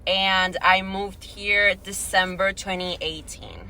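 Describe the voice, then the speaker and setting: A woman's voice: mid-range voice, fairly even intonation, takes it slowly, pushed and bright, slight background noise, rough recording. A woman in her late teens from Venezuela, a native Spanish speaker, chatting casually as a podcast guest with lots of filler "like". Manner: chatty, peppers talk with "like". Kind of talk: casual conversational interview answers.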